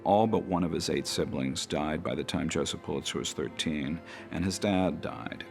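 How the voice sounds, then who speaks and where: A man with a gravelly voice, telling history as a historian in a documentary interview. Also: extremely low pitch, moderate pace, over music. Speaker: biographer, historian.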